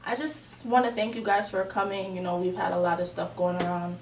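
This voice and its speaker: A woman's voice: fairly low pitch, a little fast. A woman in her twenties facilitating a student group meeting, earnest and prayerful, with many fillers. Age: 20s. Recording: echoey room, distant mic, background noise.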